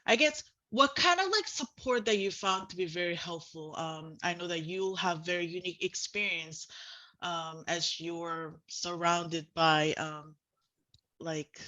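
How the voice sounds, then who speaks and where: Woman, panel moderator, rather low pitch, bright forward tone, halting informal phrasing, semi-formal presenting, video call. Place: online video call with screen sharing.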